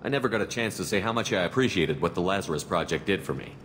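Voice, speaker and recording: A man's voice: low voice, animated, quick pace, fairly bright tone, long reverb tail, slight background noise. A man voicing a firm, wary military commander in a video game, blunt and direct. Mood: direct, businesslike.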